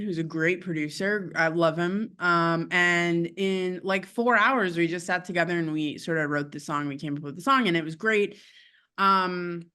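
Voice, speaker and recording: A woman with a low voice, a guest musician in a casual interview, chatty and laughing often with many fillers. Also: a bit bright, smooth.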